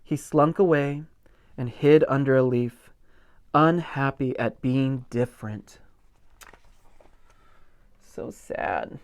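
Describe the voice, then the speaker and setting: A man reads a children's picture book at an unhurried, slow pace. His mid-range voice is gentle and expressive, stretching key words for emphasis and adding simple asides for young listeners. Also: mid-range voice. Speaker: pastor reading a children's picture book aloud.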